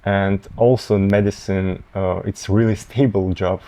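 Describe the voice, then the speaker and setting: A young man in his twenties with a Russian accent, a medical student answering interview questions haltingly, often pausing to find words. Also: low voice, steady tone, dark tone. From Tatarstan, Russia. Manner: halting, searching for words, many fillers.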